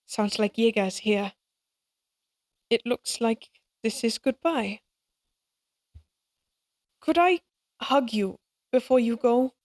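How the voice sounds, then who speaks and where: Brief: actress as lonely, sincere woman in audio drama; higher voice, very slow, hesitant delivery, wistful and fond. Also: expressive, darkish tone, dry recording, clean studio sound. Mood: wistful, earnest. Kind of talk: dramatic audio roleplay acting.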